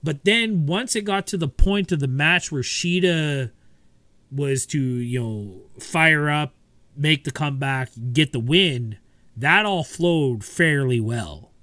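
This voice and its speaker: A man co-hosting a podcast, talking in a relaxed, rambling way with lots of fillers and restarts. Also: on the high side, takes it slowly, no room sound. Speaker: podcast co-host. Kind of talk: casual chat.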